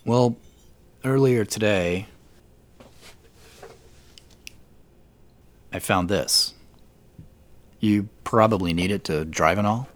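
A man's voice: on the low side, unhurried, natural voice. An actor playing an awkward, modest businessman in his late thirties, speaking hesitantly in an audio drama. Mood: tentative. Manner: hesitant, self-deprecating. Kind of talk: naturalistic audio drama dialogue.

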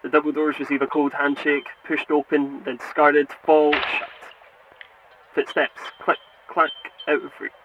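A man voicing a lonely, attentive lobby camera in an audio drama, describing what he sees in measured, halting phrases. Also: on the high side, flat delivery, lo-fi sound, close to an everyday voice. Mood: attentive, wistful. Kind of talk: scripted audio drama narration. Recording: noisy radio-like sound.

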